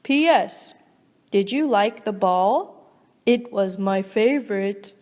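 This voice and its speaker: A woman's voice: medium pitch, slow, darkish tone, voice pushed down, lightly acted. A woman voicing a friendly, lonely male dog from a storybook, earnestly reading out his letter. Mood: earnest, hopeful. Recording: long hall-like reverb.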